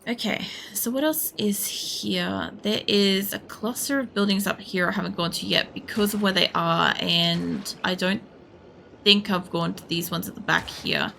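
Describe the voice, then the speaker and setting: Creaky-voiced woman game streamer. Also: mid-range voice, sing-song, a little slow, bright, forceful tone, slight background noise. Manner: sweary, blunt, thinking aloud. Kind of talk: casual live gameplay commentary, rambling chat.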